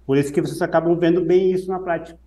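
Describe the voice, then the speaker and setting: A man with a mellow, slightly high voice co-hosts a running podcast in a relaxed, conversational way. He explains his points patiently and repeats key phrases for emphasis. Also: rapid-fire. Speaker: running coach, podcast co-host. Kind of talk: casual podcast discussion.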